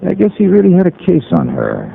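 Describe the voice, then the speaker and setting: A man's voice: high voice, wildly swooping pitch, fairly quick, creaky, slight background noise, exaggerated voice. An actor playing a confident, sardonic male insurance investigator who narrates a 1950s radio drama in a wry, assured way. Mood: confronting. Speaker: lead and narrator. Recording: tinny lo-fi old radio recording.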